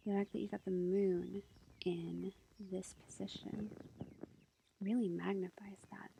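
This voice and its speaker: A woman playing a sweet, gentle witch girlfriend, reading tarot in a soft, reassuring ASMR whisper. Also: medium pitch. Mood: pleased, musing. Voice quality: soft, breathy, creaky whisper. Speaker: ASMR roleplay performer. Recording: faint background noise, lo-fi.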